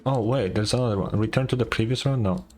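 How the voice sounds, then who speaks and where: A man's voice: lowish voice, quick pace, darkish tone. A man giving casual reaction commentary as he plays a game, muttering and thinking aloud with some hesitation. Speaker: gaming streamer. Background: game music.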